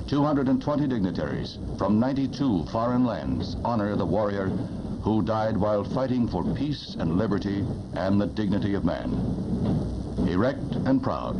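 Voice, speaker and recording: Solemn deep-voiced American newsreel man, dull vintage soundtrack. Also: very noisy. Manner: grave, reverent, measured oratory. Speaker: newsreel narrator. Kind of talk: solemn 1960s newsreel narration. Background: loud music.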